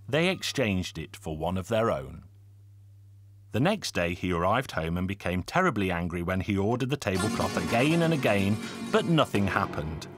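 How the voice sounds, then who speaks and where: Expressive male storybook narrator. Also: lowish voice. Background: loud folk music.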